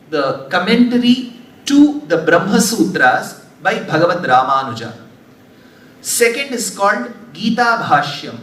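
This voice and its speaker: High-voiced man in an echoey hall. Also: fairly bright tone. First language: Tamil. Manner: slow, measured, formal with scriptural terms. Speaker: religious discourse speaker. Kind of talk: spiritual discourse in a hall. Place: large hall before an audience. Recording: echoey hall, distant mic, faint hiss.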